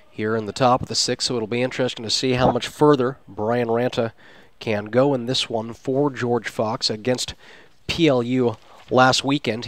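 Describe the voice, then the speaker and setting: A male play-by-play announcer delivers live baseball commentary for radio. His voice sits at an ordinary male pitch in a dry sound with very little room. He describes the game in ornate, detailed phrases, in the manner of a sports broadcaster. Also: dry recording. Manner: descriptive, flowery turns of phrase.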